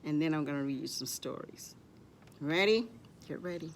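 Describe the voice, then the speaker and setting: A woman's voice: deep voice, animated, normal speed, a little hiss or hum. A woman hosting a children's storytime, playful and encouraging, speaking simply to little kids and often repeating short phrases.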